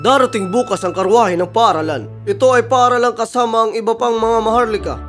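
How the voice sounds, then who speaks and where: A man playing a firm but encouraging royal father in an animated fairy tale. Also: very high-pitched, a little fast, faint music behind. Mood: reassuring.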